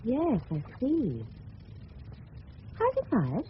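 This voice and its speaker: An English woman in her twenties, polite and attentive. Her fairly high voice swoops widely as she gently coaxes. The radio-play recording is slightly noisy. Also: huge pitch swings, drawn-out words, highs cut off, exaggerated voice. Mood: attentive, coaxing. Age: 20s. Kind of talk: radio drama acting.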